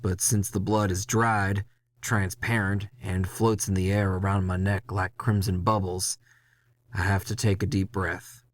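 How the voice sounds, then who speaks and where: A low-pitched man delivers scripted first-person noir narration. His storytelling is wry, gritty and world-weary, recorded close and very dry. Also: level tone, unhurried, dry recording. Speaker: narrator of an audio drama.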